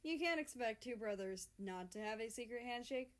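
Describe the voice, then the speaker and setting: A woman's voice: fairly high pitch, animated, normal speed, close to an everyday voice. A woman voicing a blunt, bickering but friendly younger brother in a read-aloud story. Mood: indignant.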